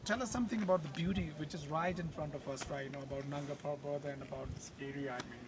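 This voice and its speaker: A man's voice: on the high side, fast talker. A man working as a tour guide, interviewing a guest outdoors with hesitant phrasing and many fillers. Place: outdoors in the mountains. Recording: noisy outdoor ambience.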